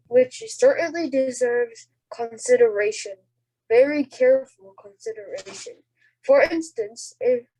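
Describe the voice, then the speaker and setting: A woman reading the part of a thoughtful, logical professor in an amateur radio play, with a measured, questioning, emphatic manner. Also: on the high side, mellow, no room sound, rough recording. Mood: measured, inquiring. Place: indoors, on a group video call.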